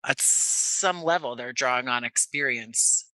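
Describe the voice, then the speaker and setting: Bright-voiced, thoughtful American man. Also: mid-range voice, unhurried, dry recording, poor audio. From Jersey City, United States. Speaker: podcast guest, audio playwright. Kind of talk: reflective conversational interview.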